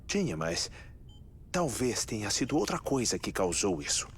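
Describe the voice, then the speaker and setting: A man with a Brazilian accent playing a calm, methodical scientist who explains findings in a dubbed game cutscene. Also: lowish voice, slight background noise. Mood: calm, explanatory. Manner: expository, measured. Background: music.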